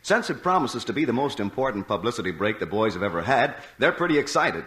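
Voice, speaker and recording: A man's voice: medium pitch, very animated, fast talker, fairly bright tone. A man announcing a variety show in formal, scripted 1940s radio style. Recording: old radio broadcast with audience noise.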